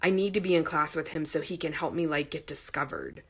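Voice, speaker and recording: A woman's voice: sits low, brisk, breathy edge. A woman voicing a pushy, entitled young female college student who pleads in ditzy, filler-laden speech for an audiobook. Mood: pleading.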